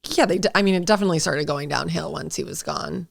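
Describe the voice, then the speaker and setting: A woman's voice: sits low, fast talker, creaky. A young American woman co-hosting a podcast, chatting casually in blunt, emphatic slang. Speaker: podcast co-host. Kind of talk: casual chat.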